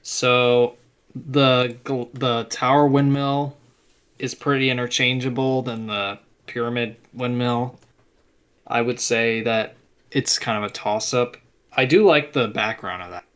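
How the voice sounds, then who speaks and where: A man's voice: medium pitch, steady tone, measured pace, a bit bright, smooth. A young man as guest co-host in a casual chat, easygoing and hedging his opinions.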